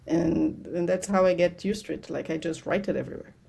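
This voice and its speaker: A woman's voice: fairly low pitch, steady tone, a little fast, creaky, warm tone, slightly rough. A woman speaking English as a second language, chatting frankly and encouragingly as a guest in an interview. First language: not English. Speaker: podcast guest, financial educator. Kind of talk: casual interview chat.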